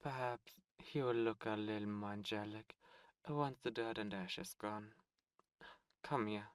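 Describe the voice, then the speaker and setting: Casting: a man playing a suave, cold demon prince, very slow and deliberate, dry close sound. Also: fairly low pitch, smooth, no echo, lightly acted. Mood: silky. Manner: silky, condescending, menacing. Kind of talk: ASMR roleplay audio drama.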